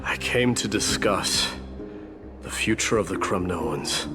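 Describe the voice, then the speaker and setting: A man's voice: sits low, lively intonation, slow, music in the background. A man playing a solemn, proud warrior prince in a video game, respectful and restrained, speaking formally.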